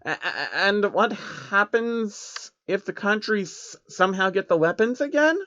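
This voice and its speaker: A man's voice: high voice, expressive, measured pace, bright, forceful tone, dry recording, muffled recording, pitched above the performer's own voice, heavily acted. Nervous, naive changeling creature for a story, read by a man: raised, hesitant voice. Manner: hesitant, stammering questions.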